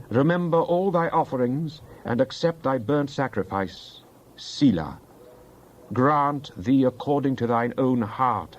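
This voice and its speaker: Male scripture reader, mid-pitched, solemn and declamatory, on slightly muffled audio. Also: darkish tone. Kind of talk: dramatized Bible reading.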